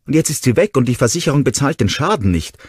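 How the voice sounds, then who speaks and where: Ein Mann spricht eine junge Frau, verletzt und wütend, mit schrillen, flehenden Ausbrüchen. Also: male voice, very animated, moderate pace, slightly rough, no echo, clean studio sound, exaggerated voice. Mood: upset. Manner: shrill, pleading outbursts. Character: young woman, hurt and furious.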